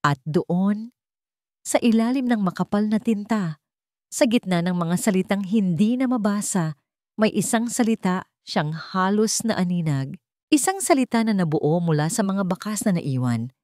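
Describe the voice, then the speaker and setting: A woman narrating scripted dramatic fiction in a measured, suspenseful way, with deliberate pauses. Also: medium pitch, expressive, a little mellow, no echo, clean studio sound. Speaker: story narrator.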